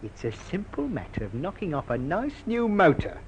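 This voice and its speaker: A man playing a cocky, smooth-talking car thief and con man in an old radio crime drama, breezy and persuasive. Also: sits high, huge pitch swings, moderate pace, heavily acted. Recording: faintly noisy old radio recording.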